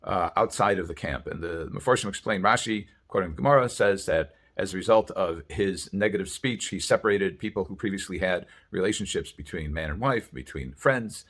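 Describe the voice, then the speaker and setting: A man speaking as a rabbi giving a religious sermon, explaining sources in a halting, deliberate way with frequent hesitations. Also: deep voice, fairly bright tone.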